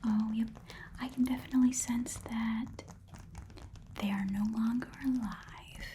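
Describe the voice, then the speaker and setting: A woman voicing a chatty, haggling goblin pawn shop keeper in a breathy whispered ASMR roleplay, with casual muttered asides. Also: on the high side, fairly even intonation, a little slow, mellow, a little hiss or hum, lightly acted. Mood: appraising, doubtful.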